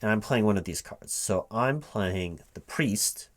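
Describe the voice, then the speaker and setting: A man in a spoken, unwhispered ASMR roleplay, talking casually and haltingly to an unheard partner as if puzzling things out aloud. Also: on the low side, lively intonation. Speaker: recruiting manager interviewing a gamer in a one-sided roleplay. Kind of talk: spoken ASMR roleplay, casual one-sided conversation, not whispered.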